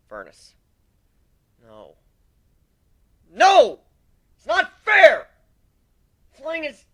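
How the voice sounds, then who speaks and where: A man's voice: extremely high pitch, pitched above the performer's own voice, heavily acted. A man voicing an embittered, hot-tempered male griffin captain in a story, with emotional delivery. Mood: grief-stricken. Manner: emotional, swings from muttering to shouting.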